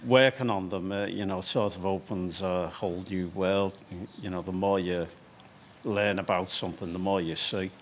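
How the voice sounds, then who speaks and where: A man in his sixties with a Liverpool accent, a stone carver telling his story in an interview, hesitant with frequent fillers. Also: fairly low pitch. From England. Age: 60s. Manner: hesitant, with many fillers and restarts.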